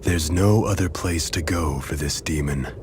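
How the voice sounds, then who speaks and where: A man playing a resigned, calm undead demon warrior in a video game, speaking gravely and solemnly. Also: a bass voice, moderate pace. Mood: weary, resigned. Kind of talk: dramatic video game voice acting. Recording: clearly noisy background ambience.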